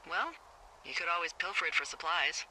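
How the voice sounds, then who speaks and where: An actress voicing a wry, teasing American fire lookout supervisor in a video game, with a dry, playful and sarcastic manner. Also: female voice, on the low side, a little fast, sharp tone, a little hiss or hum, low-quality recording. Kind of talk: naturalistic video game dialogue. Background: background music.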